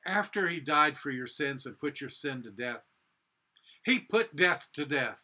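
Male preacher, 60s. Sermon and prayer, deliberate short phrases, unhurried pace, firm bright tone. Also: fairly high pitch, expressive. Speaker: pastor. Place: indoor room with a cross, small chapel or office.

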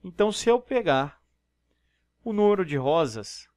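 A man with a Brazilian accent works through exam problems one step at a time, often checking that the listener is following. His voice sits a little high. The recording is dry and close, with no room sound. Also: sing-song, unhurried, darkish tone, no room sound. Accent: Brazilian Portuguese. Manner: explanatory, step-by-step, frequent tag questions. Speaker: math teacher. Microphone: voice-over on screen recording.

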